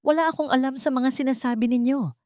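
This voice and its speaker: A woman's voice: high voice, fast talker, a little mellow, dry recording, studio quality, voice pushed up, natural voice. A woman voicing an arrogant, defensive mistress in a narrated love story, haughty and full of denial. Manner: haughty, denying.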